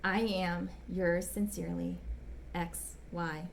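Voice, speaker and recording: A woman voicing a smitten, bashful gentleman writing polite, apologetic, ardent love letters in a dramatic reading. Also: lowish voice, fairly even intonation, slow, gravelly, slight background noise, pitched below the performer's own voice. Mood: polite, formal. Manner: polite, apologetic, ardent, old-fashioned phrasing. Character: smitten, bashful, gushing gentleman letter writer back from China.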